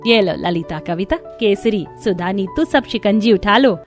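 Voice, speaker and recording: A woman's voice: mid-range voice, lively intonation, a little slow, soft background music, lightly acted. A woman voicing a harried, scolding mother-in-law in a cartoon family story, exclaiming and admonishing. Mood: motherly.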